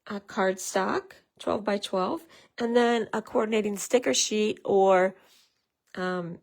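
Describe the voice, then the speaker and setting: Woman, raspy from a cold, gravelly edge, casual tutorial, chatty with fillers. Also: medium pitch, slow, a little mellow. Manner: chatty, greets viewers, many fillers. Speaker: craft demonstrator. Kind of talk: casual live craft tutorial.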